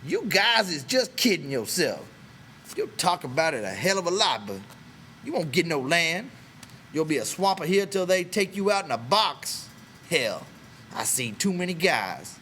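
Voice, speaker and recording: A man voicing a bitter, proud American stable hand who talks scornfully and forcefully, in a dramatic audiobook style. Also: a little high, sing-song, normal speed, slight background noise, voice pushed up. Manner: scornful, brutal, forceful rural American talk.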